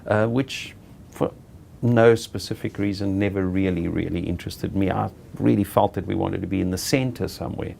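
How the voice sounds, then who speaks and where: A middle-aged South African man being interviewed, telling his own story in an unhurried, halting way and often correcting himself. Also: low voice, vocal fry, darkish tone, a little hiss or hum. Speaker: interviewee, property director. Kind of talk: reflective personal storytelling.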